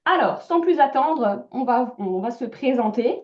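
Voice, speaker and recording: A woman's voice: a little high, lively intonation, measured pace, a little mellow, highs cut off. A woman mortgage broker moderating a webinar over a video call, speaking hesitantly with many fillers. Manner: hesitant, many filler sounds, polite hosting. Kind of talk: webinar presentation.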